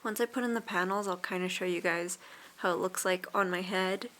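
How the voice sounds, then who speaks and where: A woman in her late twenties chatting casually in a vlog, relaxed and rambling with fillers and soft laughs. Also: on the low side, a little fast. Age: 20s. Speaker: vlogger.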